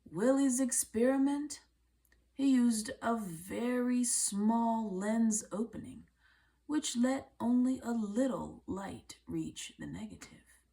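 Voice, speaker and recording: A woman reading a children's book aloud in a measured way, with deliberate phrasing and pauses. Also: fairly high pitch, dark tone, low-quality recording. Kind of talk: read-aloud storybook narration.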